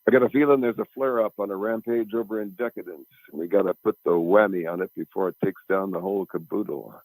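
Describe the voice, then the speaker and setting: A man voicing an excitable male saloon owner in a comic western radio play, rambling in folksy slang. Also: low-pitched, quick pace, dry recording, low-quality recording. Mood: excited. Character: blustery old-West male saloon owner.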